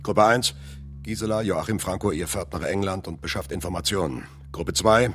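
An actor playing a businesslike group leader who gives orders with crisp authority in a radio drama. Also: male voice, deep voice, moderate pace, fairly bright tone. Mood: decisive, commanding. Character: commanding, businesslike male leader of a conspiracy-fighting group.

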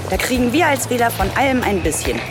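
An actress playing a naively pleased voter in a street interview for a radio satire. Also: female voice, a little high, expressive, quick pace, over music, natural voice. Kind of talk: vox pop in a radio satire.

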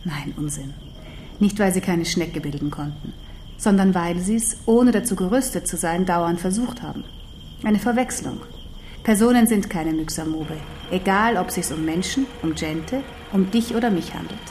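An actress in a German radio drama playing a learned, patient non-human teacher who instructs precisely and firmly. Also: female voice, lowish voice, normal speed, a little mellow, a little hiss or hum, close to an everyday voice.

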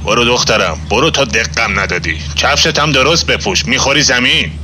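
A man playing a gruff, exasperated, sarcastic father in a radio drama. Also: mid-range voice, sharp tone. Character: grumbling, exasperated father on the street. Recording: street and traffic noise behind. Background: faint car and street sounds.

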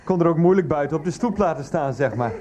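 An actor playing a middle-aged, feckless ex-teacher in a radio play, placating and hesitant, drawing out phrase endings. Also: male voice, on the high side, expressive, fast talker, over a noisy crowd, lightly acted. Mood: soothing.

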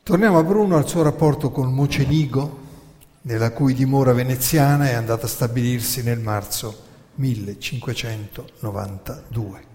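A man narrating history as a theatrical lecture from a stage, emphatic and full of dramatic pauses. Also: medium pitch, mellow. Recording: reverberant hall.

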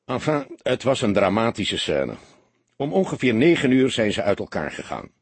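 A man voicing a seasoned, greying police detective in an audiobook, calm, sober and matter-of-fact. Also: fairly low pitch, moderate pace, husky, no echo, exaggerated voice.

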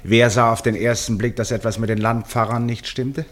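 An actor in a German radio play playing an eccentric, unworried amateur detective who talks in teasing, rhetorical, dismissive turns. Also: male voice, medium pitch, little pitch movement, fairly quick, close to an everyday voice. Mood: self-satisfied. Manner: rhetorical, breezily dismissive, exasperated.

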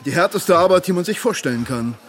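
A man's voice: high-pitched, expressive, fast. A man acting in a German radio drama as a boastful, self-important SS soldier, smug and jovially menacing. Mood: self-justifying. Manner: pompous, smug. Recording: crowd murmur behind the voice.

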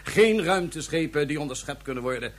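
Pompous high-pitched megalomaniac dictator. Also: male voice, expressive, fairly quick. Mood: grandiose gloating. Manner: pompous, mockingly polite. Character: smug megalomaniac dictator plotting to seize the solar system. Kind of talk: radio drama acting.

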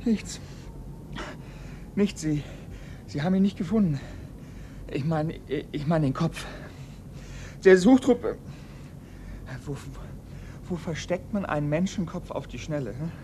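A man acting a shaken, earnest young police assistant in a German radio crime play, speaking haltingly. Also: on the high side, animated, dark tone, slight background noise. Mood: agitated, pondering. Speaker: actor in radio crime drama.

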